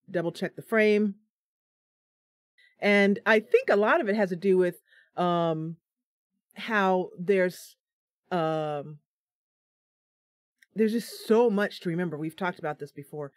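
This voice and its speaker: Unhurried giggly woman streamer, dry close sound. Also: lowish voice, dry recording. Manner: chatty, giggly, self-deprecating. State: tired. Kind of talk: casual live-stream chat. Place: home room at computer.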